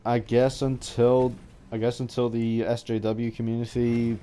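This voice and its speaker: A man giving an unscripted opinion ramble as a gaming vlogger, halting and often restarting his phrases. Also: mid-range voice, completely flat delivery, warm tone, a little hiss or hum.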